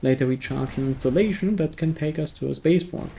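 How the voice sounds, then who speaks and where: A man's voice: fairly high pitch, fast talker, creaky, dark tone, slight background noise. A man narrating a scripted video game review in a dry, sardonic manner.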